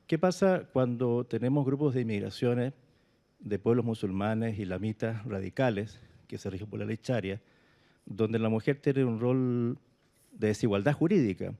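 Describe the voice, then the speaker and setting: A man's voice: on the low side, moderate pace, creaky, dark tone. A man from Chile with a Chilean accent, putting an unscripted question to a panel from the audience. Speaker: audience member asking a question.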